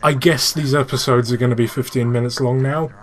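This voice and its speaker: Man, gaming channel host, casual commentary, medium pitch, enthusiastic fan explaining, exasperated, sweary. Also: fairly even intonation, fairly quick.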